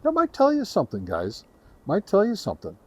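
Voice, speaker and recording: A man hosting a casual product review, speaking haltingly to his viewers and repeating words for emphasis. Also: high voice, huge pitch swings, a little mellow. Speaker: host reviewing radio antennas.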